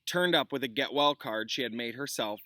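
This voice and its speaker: A man's voice: medium pitch, fairly quick, sharp tone, no room sound. A man reading a storybook aloud as a classroom teacher, expressively, with informal asides for pupils. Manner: informal, explains words for pupils.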